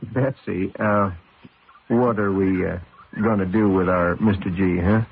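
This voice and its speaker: Young male racer: cocky, good-natured, lowish voice, conversational radio drama acting, narrow old-broadcast sound. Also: fairly even intonation, a little slow, gravelly, slight background noise. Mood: casual, teasing. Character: cocky but good-natured race driver, young husband and father.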